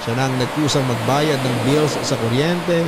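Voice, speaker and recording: A man's voice: medium pitch, moderate pace, heavy background noise, close to an everyday voice. A man playing a troubled young working son who earnestly recounts his family's story in first person, with a Filipino accent. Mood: somber, reflective. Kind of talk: first-person dramatized narration.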